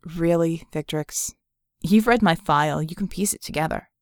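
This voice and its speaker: A woman voicing a cynical, haunted male metahuman ex-thief in an audiobook, telling his past in a wry, measured way. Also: low-pitched, no room sound, clean studio sound. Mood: guarded, sardonic.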